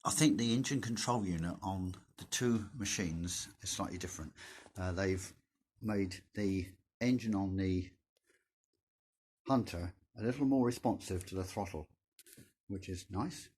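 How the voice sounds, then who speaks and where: Croaky older man, low husky voice. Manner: measured, gentle, with frequent pauses and fillers. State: croaky from a sore throat. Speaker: motorcycle vlogger. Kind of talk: casual narrated walkaround. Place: indoor garage.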